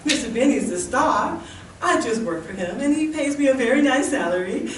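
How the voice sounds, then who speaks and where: Woman doing a sassy self-assured radio actress, high lively voice, noisy far mic. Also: moderate pace, close to an everyday voice. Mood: dry, self-assured. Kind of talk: old-time radio comedy acting on stage. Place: live stage performance of a radio show. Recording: echoey stage, distant mic, noisy.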